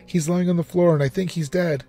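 A man's voice: sits high, steady tone, a little fast, dark tone, soft background music, pitched above the performer's own voice. A man voicing a polite, anxious housewife with an eager, over-sweet manner in a storybook reading. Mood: anxious, distressed. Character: housewife feigning brightness and distress, anxious, polite. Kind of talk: storybook character voice.

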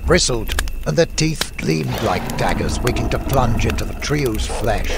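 A man's voice: mid-range voice, lively intonation, a little slow, vocal fry, very noisy. A man reading a scripted fantasy story as an audiobook narrator. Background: music.

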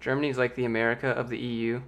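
A man in his late twenties with a light, youthful voice that sometimes squeaks, casually chatting and answering viewer questions on a live stream from a small home office into a close studio microphone. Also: rapid-fire, vocal fry. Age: late 20s. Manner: relaxed, rambling, reads viewer questions aloud. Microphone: large studio mic with pop filter, close.

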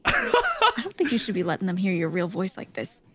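A woman's voice: mid-range voice, wildly swooping pitch, brisk, fairly bright tone, husky. A woman chatting casually during roleplay, teasing and playful. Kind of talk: casual roleplay chat on voice call.

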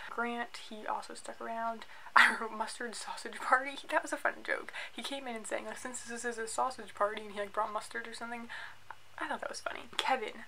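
A woman in her twenties with an American accent, chatting casually and giving opinions in an animated way as a vlogger. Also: on the high side, creaky, slight background noise, rough recording. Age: 20s. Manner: opinionated, animated, filler-heavy with "like". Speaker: YouTube vlogger reviewing a reality show. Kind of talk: casual chatty vlog commentary. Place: small indoor room at home, by a bookshelf.

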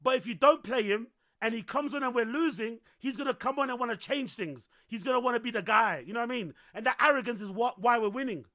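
A young man streaming a football match, calling the play, swearing and reacting loudly with a voice that's going hoarse, on a headset in a small room. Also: very high voice. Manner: excitable, shouting, swears often. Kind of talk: live watch-along commentary.

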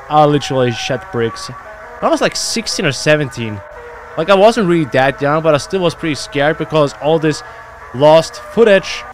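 A young man giving casual, exclamatory, sweary reaction commentary into a close desk microphone in a home gaming room. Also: a little high. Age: young adult. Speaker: YouTube reaction host. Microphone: close desk microphone on boom arm.

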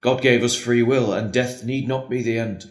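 Actor doing earnest patient priest taking confession, measured, consoling, audio play, echoey room. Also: male voice, medium pitch, fairly even intonation, natural voice. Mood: gentle, firm. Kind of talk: audio drama acting.

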